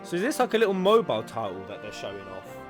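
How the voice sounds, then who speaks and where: A man giving casual, playful and animated reaction commentary as a gaming YouTuber, with some crude humour. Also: medium pitch, quick pace, music in the background.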